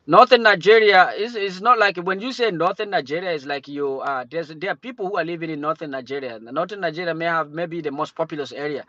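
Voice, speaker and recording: A man from Cameroon hosts a relaxed livestream chat, stumbling and restarting his sentences. His voice sits a bit high for a man. The sound is dry and close, with a dull lo-fi tone. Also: no echo. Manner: halting, many restarts and fillers. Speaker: livestream host. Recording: dull lo-fi stream audio.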